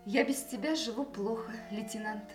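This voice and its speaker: A woman's voice: on the high side, moderate pace, soft background music, close to an everyday voice. An actress in a radio play, playing a proud, ironic woman who teases her old love. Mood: wistful, playful. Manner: ironic, teasing, literate.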